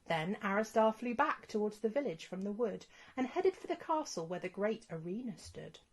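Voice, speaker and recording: A woman reading a rhyming children's storybook as scripted narration, in an expressive storytelling manner. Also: mid-range voice, normal speed.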